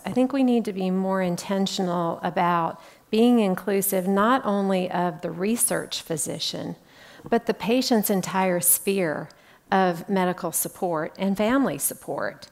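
A woman in her fifties, a clinician, speaking earnestly and deliberately on a conference panel through a headset mic. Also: mid-range voice. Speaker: clinician and former industry panelist. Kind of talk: panel discussion. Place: indoor conference stage.

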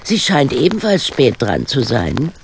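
A woman's voice: very deep voice, wildly swooping pitch, a little slow, noisy background, exaggerated voice. An actress in a radio drama playing a level-headed woman sleuth, dry, wry and decisive. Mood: dry, teasing.

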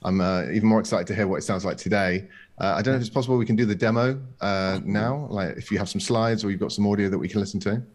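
Quick low-voiced male moderator. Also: a little mellow. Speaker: panel moderator. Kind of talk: moderating a panel discussion.

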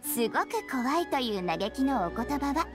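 Young shrine maiden, high voice, expressive intonation, courteous, eerie, teasing, anime game. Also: female voice, moderate pace, over music. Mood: politely unsettling. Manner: polite, formal, teasingly ominous.

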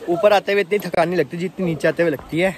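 A young man in his twenties filming a casual trail vlog on his phone and ribbing his friends as he walks. His voice sits fairly high. Rushing water and outdoor noise come through clearly on the phone mic. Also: steady tone. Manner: teasing, playful joking with friends. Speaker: vlogger. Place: outdoors on a hillside trail by a stream.

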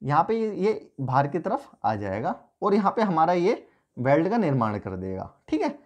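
A man in his thirties teaches an engineering topic, talking through each step as he sketches on a whiteboard. His voice swings widely up and down in pitch. He is picked up by a camera a few feet away in a small room. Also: darkish tone. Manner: explains step by step, pointing and drawing while talking. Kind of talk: whiteboard lecture.

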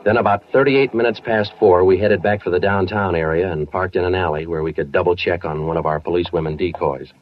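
Deep-voiced deadpan police detective. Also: male voice, fast talker, few pauses. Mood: businesslike. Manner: clipped, deadpan, matter-of-fact. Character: terse, weary male police detective sergeant. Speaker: actor narrating and playing the lead detective. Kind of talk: 1940s radio acting, scripted narration. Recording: muffled vintage 1940s radio broadcast, music behind.

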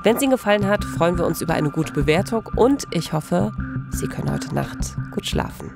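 A woman, narrating a true-crime podcast as host in a measured, scripted style. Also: lowish voice, very animated, vocal fry. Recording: voice over music bed.

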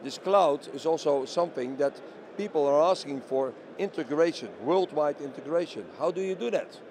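A man's voice: fairly high pitch, normal speed. A middle-aged man being interviewed at a trade show, explaining products and often answering his own questions. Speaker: company representative being interviewed. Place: busy trade show hall booth. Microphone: handheld interview microphone held by interviewer. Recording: faint bustling exhibition hall noise.

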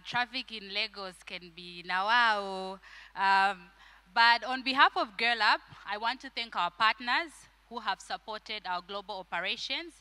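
A woman's voice: mid-range voice, bright, forceful tone, clean tone. A woman giving a friendly welcome speech on a conference stage into a handheld mic. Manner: friendly, with ums. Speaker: regional manager hosting an event. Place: stage in a large conference hall.

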